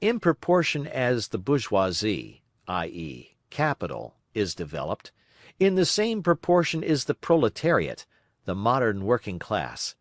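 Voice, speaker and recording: A man reading a political text aloud as scripted audiobook narration, in measured, emphatic, oratorical phrasing. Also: mid-range voice, no echo, clean studio sound. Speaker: audiobook reader.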